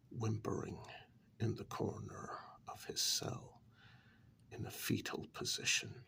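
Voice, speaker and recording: A man playing a formal, compassionate asylum staffer in a soft-spoken ASMR story, speaking in a breathy, creaky half-whisper with measured, deliberate pauses. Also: fairly low pitch, heavily acted. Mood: grave, dismayed.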